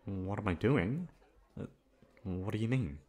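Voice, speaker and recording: A young man playing a shy, easygoing college classmate in an ASMR roleplay, talking casually in a hesitant, halting way. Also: fairly low pitch, expressive, darkish tone. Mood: flustered, hesitant. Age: young adult. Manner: hesitant, halting, awkward chuckles. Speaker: voice actor. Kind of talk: ASMR roleplay audio drama, casual conversational.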